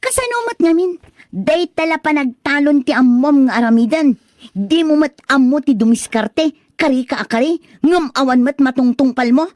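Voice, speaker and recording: A woman's voice: high voice, expressive, normal speed, darkish tone, no room sound, studio quality. A woman playing an exasperated young wife and mother in a radio drama, whining and scolding sharply. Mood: fed up, scolding. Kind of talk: Filipino radio drama acting.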